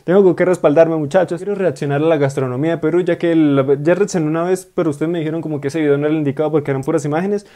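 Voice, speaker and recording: A young man, chatting excitedly in slang as he reacts to a video in a small room, speaking into earphones. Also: fairly high pitch, rapid-fire, hardly any pauses. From Colombia. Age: 20s. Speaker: YouTube reactor. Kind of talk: casual reaction commentary. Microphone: wired earphone mic.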